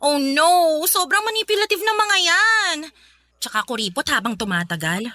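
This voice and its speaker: A woman's voice: extremely high pitch, very animated, normal speed, bright, forceful tone, no echo, exaggerated voice. A woman playing a sassy, teasing young best friend in a Filipino radio drama, with brash, slangy banter. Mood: dramatic griping.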